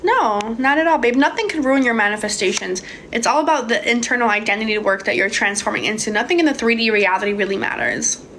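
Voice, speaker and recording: A woman's voice: fairly high pitch, quick pace, a bit bright, a little hiss or hum. A young woman from Hungary answering viewers' questions about manifesting in a casual, swearing livestream chat. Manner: casual, swears freely, calls viewers babe. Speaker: manifestation coach. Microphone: phone.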